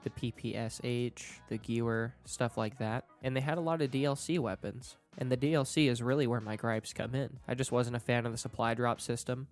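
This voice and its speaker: A young man with a stuffy nose from allergies, giving a casual, candid rant about a video game and swearing freely. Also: medium pitch. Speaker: gaming YouTuber.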